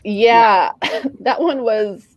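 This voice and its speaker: A woman's voice: fairly high pitch, drawn-out words, no room sound, dull audio. A woman chatting casually about books on a group livestream. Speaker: book reviewer in a livestream readalong. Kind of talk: casual chat. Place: group video call.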